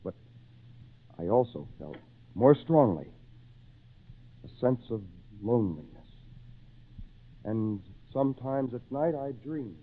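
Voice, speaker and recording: An actor playing a lonely shipwrecked man who tells his own tale in earnest, theatrical, measured phrases with dramatic pauses, in old-time radio style. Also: medium pitch, animated. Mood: wistful. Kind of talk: old-time radio drama acting, first-person narration. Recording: tinny, band-limited old radio recording, faint noise.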